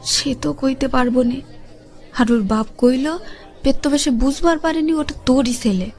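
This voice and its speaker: A woman's voice: high voice, darkish tone, natural voice. A woman playing a middle-aged village neighbour bringing news, with a rural Bengali dialect and emphatic, colloquial delivery. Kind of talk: audio drama acting.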